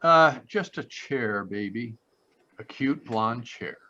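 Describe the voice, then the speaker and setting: Man playing a slow, wisecracking radio private eye. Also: mid-range voice, animated. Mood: flirtatious, evasive. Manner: wisecracking, smooth-talking. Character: flippant, flirtatious male private detective. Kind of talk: 1940s radio detective acting. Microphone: video call. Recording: dull, lo-fi video call audio.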